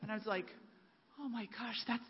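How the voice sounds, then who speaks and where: Animated, laughing woman telling a story. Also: on the high side, a little fast, slightly rough. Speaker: church group member. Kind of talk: sharing in a group. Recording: echoey hall, dull sound.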